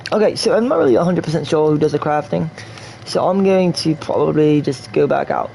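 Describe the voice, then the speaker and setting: A man's voice: a little high, animated, a little fast, creaky, mellow, a little hiss or hum, poor audio. A man from New York rambling through casual game stream commentary, repeating himself and slipping in and out of a put-on English accent. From New York, USA.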